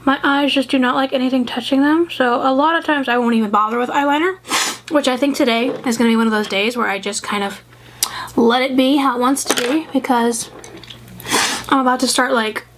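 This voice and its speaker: A young woman with a high, sniffly, congested voice chats casually while she does her makeup at a table at home. She rambles and doubts herself, and there is clear noise behind her. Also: a little fast. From American South. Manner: rambling and self-doubting, full of fillers. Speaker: beauty vlogger. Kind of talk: chatty get-ready-with-me makeup tutorial. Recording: noisy home recording.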